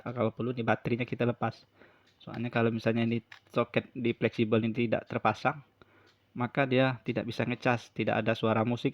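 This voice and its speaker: A man's voice: mid-range voice, lo-fi sound. A man gives an unscripted hands-on repair tutorial, explaining step by step and often repeating himself. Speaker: phone repair tutorial presenter.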